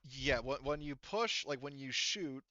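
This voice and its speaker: A man running an online tabletop game as game master, explaining rules haltingly as he thinks aloud. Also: medium pitch, animated, pushed and bright, no echo, lo-fi sound.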